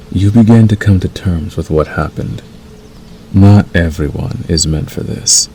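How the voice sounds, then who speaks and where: A man voicing a possessive werewolf lover in intimate ASMR roleplay, menacing yet tender, coaxing slowly and soothingly. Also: a bass voice, a little hiss or hum, low-quality recording. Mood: calm, menacing. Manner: slow, soothing, coaxing with dark undertone.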